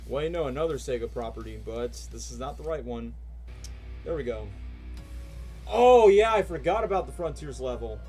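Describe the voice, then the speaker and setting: A young man rambles through a casual livestream, piling on fillers and eager fan enthusiasm. His voice sits at an ordinary middle pitch. Faint music plays underneath. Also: animated, moderate pace, darkish tone. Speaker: streamer reacting to game cutscenes.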